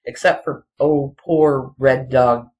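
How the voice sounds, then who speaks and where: A person giving casual, rambling solo commentary in halting, broken phrases, often repeating points insistently. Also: medium pitch, steady tone, low-quality recording. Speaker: video commentator.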